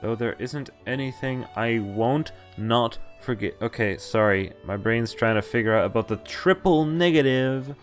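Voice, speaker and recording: A man streaming a visual novel, reading its lines aloud and breaking off to react and explain, with animated exclamations and groans. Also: medium pitch, moderate pace, hardly any pauses, soft background music.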